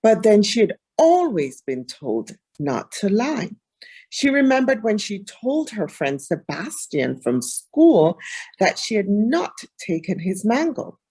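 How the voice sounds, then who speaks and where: A woman's voice: fairly high pitch, a little mellow, breathy edge. A woman reading a children's storybook aloud expressively.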